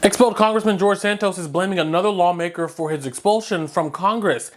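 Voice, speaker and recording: An American news anchor reading scripted headlines in a bulletin style. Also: mid-range voice.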